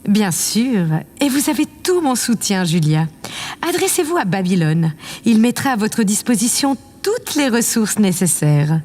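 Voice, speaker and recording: A woman's voice: medium pitch, big pitch swings, measured pace, a little mellow. A woman playing a vain, imperious yet affectionate president of a space colony in an audio drama, with a pompous, condescending manner. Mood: gracious. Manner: pompous, condescending, theatrically self-satisfied. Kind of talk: scripted audio drama acting. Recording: long reverb, clear background noise.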